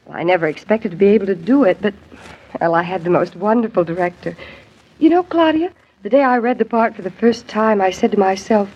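Actress as a gushing young ingenue, muffled radio. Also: female voice, medium pitch, brisk, darkish tone, husky, close to an everyday voice. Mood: chatty, flattering. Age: young adult. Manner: effusive, theatrical. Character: Pat, gushing, breathless young Broadway ingenue, theatrical. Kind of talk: 1940s radio drama acting. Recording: muffled 1940s radio recording, faint noise.

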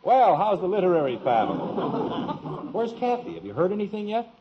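An American actor playing an excitable, proud middle-aged father in a 1950s radio sitcom, with a blustery, animated manner. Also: high voice, fairly quick, background noise. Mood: cheerful, eager. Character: excitable, proud suburban father, easily indignant. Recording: dull, husky-sounding old radio recording.

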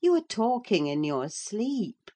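A woman's voice: mid-range voice, very animated, slow, dark tone, voice pushed up. A woman voicing a sensible, firm female servant in a classic-novel audiobook, matter-of-fact, insistent and chiding as she soothes her mistress. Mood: puzzled, firm.

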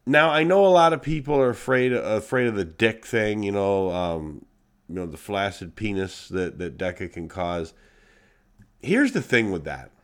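Man, podcast host, nasal and congested, blunt, profane, fairly low voice. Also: lively intonation, normal speed. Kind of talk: casual solo podcast monologue.

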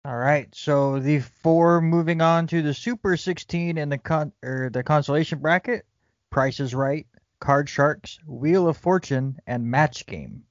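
A man's voice: on the high side, measured pace, dry recording. A man moderating a casual podcast panel, announcing results and introducing the next round. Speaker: moderator, host. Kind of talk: guiding a game show bracket discussion. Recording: dry, dull lo-fi recording.

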